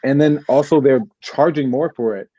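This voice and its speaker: A man's voice: medium pitch, normal speed, dark tone, no room sound, lo-fi sound. A man hosting a podcast, chatting in an easygoing way and asking long, rambling questions. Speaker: podcast host. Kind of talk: casual podcast conversation.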